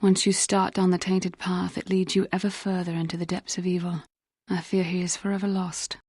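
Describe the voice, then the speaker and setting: An actress playing an earnest, solemn young Jedi warrior in a video game, with formal, grave delivery. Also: female voice, on the low side, little pitch movement, brisk, a little mellow, breathy edge, no room sound. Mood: grave, sorrowful. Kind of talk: dramatic video game acting.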